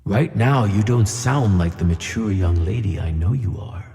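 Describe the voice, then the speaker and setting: Give this children's audio play a giant magical tuna, a calm, fatherly mentor who reassures and gently corrects. The voice sits very low with a gravelly rumble, dark in tone, and rings in a long cavernous reverb. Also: moderate pace. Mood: gently scolding.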